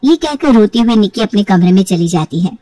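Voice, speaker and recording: A woman's voice: medium pitch, lively intonation, a little fast, warm tone, recorded from a distance. A woman reads scripted story narration for a family tale. The sound is dry, with no room echo, and she is a little away from the microphone.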